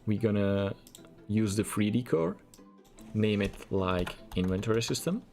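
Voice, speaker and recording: A man's voice: fairly low pitch, animated, unhurried, gravelly, darkish tone, soft background music. A man from the Czech Republic with a Czech accent, whose first language is Czech, walks through a coding tutorial in plain step-by-step instructions and keeps repeating "we're gonna". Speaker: programming tutor. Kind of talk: screen-recorded coding tutorial.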